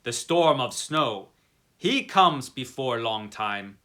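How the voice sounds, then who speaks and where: A man's voice: medium pitch, expressive, takes it slowly, bright, forceful tone. A man voicing a frightened, superstitious coachman who speaks broken English. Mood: warning. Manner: broken English, fearful and urgent.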